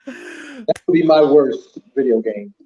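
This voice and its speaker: A man with a fairly high but dark, husky voice chats as a podcast panelist. His talk is casual and conversational. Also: big pitch swings, takes it slowly, muffled recording. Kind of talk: casual chat.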